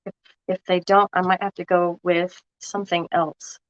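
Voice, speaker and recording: A woman's voice: on the low side, flat delivery, normal speed, no echo. A woman, sounding froggy from a cold, chatting casually and thinking aloud as she walks viewers through a craft project. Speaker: craft vlogger. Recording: dull lo-fi dry recording.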